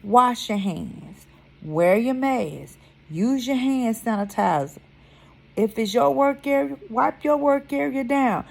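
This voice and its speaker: Blunt, cussing woman with a gravelly edge. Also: fairly high pitch, expressive, slow, darkish tone. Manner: blunt, heated, swears freely, repeats for emphasis. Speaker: vlogger. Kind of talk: casual ranting vlog.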